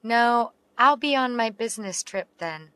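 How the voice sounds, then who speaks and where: Female, slow deliberate delivery, clear diction, dry studio sound. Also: on the high side, animated, a bit bright, dry recording. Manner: slow and carefully enunciated. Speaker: English teacher reading dialogue. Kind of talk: slow read-aloud English practice phrases.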